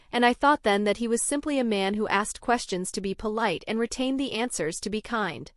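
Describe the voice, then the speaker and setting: A young adult woman from Norway, narrating her own story in a measured, even scripted way. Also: mid-range voice, no echo, studio quality.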